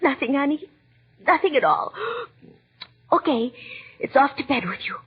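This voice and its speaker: An actress playing an anguished, forgiving young wife and mother in 1940s radio drama, husky and breathy. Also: sits high, moderate pace, natural voice. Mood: strained, gentle. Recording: muffled 1940s radio recording.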